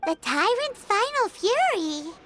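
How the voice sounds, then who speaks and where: A woman voicing a tiny, childlike fairy companion in a video game, excitable, curious and exclamatory. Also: extremely high pitch, slow, exaggerated voice. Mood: alarmed. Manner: refers to herself in the third person, exclamatory. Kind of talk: video game cutscene acting. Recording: music behind the voice.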